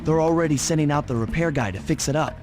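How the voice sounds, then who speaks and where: A man's voice: a little high, fast talker, close to an everyday voice. A man voicing a cool young master thief in a video game, with a confident, wry delivery. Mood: casual, alert. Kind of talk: video game cutscene acting. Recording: loud background music.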